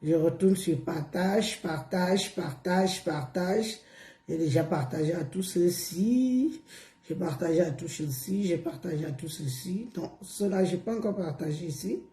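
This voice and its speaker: Slow, hoarse woman live streaming. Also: on the low side, mellow, echoey room. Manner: repetitive, coaxing, drawn-out words. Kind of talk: casual live stream chat.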